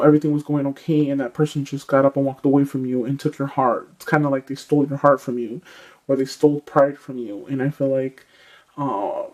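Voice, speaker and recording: A man with a scratchy throat giving a casual tarot reading, rambling with many fillers and clearing his throat often. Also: mid-range voice, a little mellow. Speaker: tarot reader.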